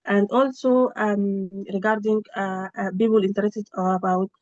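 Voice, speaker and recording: A woman researcher reports on a project in a flat, even voice, halting often with fillers and restarts. She sounds dry and a little dull through the video-call audio. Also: mid-range voice, no echo. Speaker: researcher giving a project update. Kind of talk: spontaneous meeting report.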